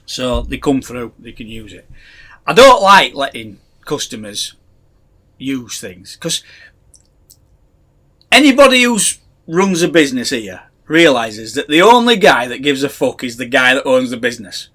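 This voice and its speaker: A man's voice: mid-range voice, big pitch swings, a little slow, sharp tone. A middle-aged man with a Yorkshire accent, co-hosting a casual podcast and chatting wryly, with ranting asides. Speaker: podcast co-host. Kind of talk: casual banter.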